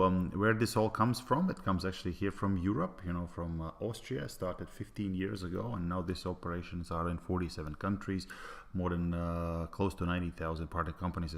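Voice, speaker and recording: A middle-aged man with a very low voice and a Latvian accent gives an online presentation in English. He speaks hesitantly, with frequent fillers and restarts.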